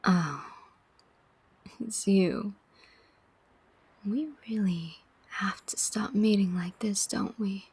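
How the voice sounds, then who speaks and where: A woman voicing a world-weary, sardonic demon who collects souls, in a breathy whispered ASMR roleplay with a deliberate, teasing delivery and many pauses. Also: medium pitch, a little mellow, dry recording, heavily acted. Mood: teasing, wry. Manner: slow, deliberate, teasing, pausing often.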